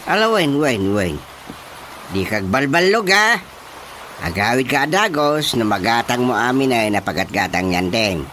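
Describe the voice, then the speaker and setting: A man's voice: medium pitch, very animated, moderate pace, slight background noise. A man acting a boastful, jovial older uncle in a radio comedy drama, drawling and comically self-satisfied. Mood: instructing, fond. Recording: loud background music.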